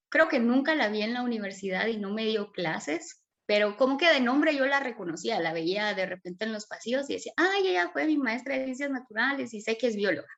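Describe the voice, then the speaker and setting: A woman's voice: mid-range voice, fairly bright tone. Chatty Guatemalan woman scientist. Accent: Guatemalan Spanish. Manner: chatty, explains with many fillers. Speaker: guest scientist. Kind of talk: casual interview storytelling.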